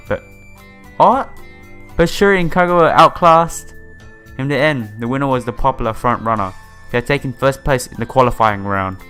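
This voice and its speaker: A man hosting a casual let's-play, reading game text aloud and adding informal asides. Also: medium pitch. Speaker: gaming video host. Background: soft game music.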